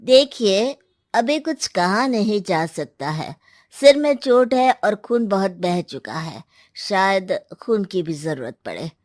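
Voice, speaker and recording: A woman's voice: medium pitch, sing-song, takes it slowly, a little mellow, dry recording, clean studio sound. A woman voicing a sober male hospital doctor in a family drama, grave and clinical.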